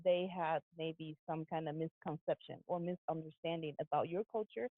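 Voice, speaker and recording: Low-voiced woman host on a tinny line. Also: steady tone, dry recording. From China. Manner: halting, restarts her questions. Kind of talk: casual interview questions. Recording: dry, phone-like sound.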